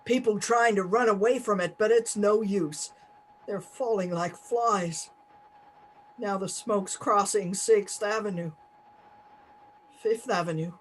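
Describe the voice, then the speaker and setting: A man playing a grave, resolute radio announcer reporting a doomed city in halting, clipped phrases, in 1930s radio play style. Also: high voice, low-quality recording. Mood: dread. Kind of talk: 1930s radio play news bulletin acting.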